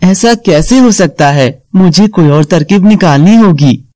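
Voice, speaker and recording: A man's voice: sits high, lively intonation, measured pace, darkish tone, no echo. A man acting young male characters of a cartoon serpent fairy tale. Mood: scheming.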